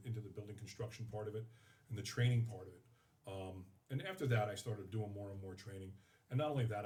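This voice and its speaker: A middle-aged man, talking candidly as an interview guest in a halting way, repeating words as he looks for phrasing. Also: fairly low pitch, dark tone. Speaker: guest, firefighting instructor and training officer. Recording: voice slightly distant from the mic.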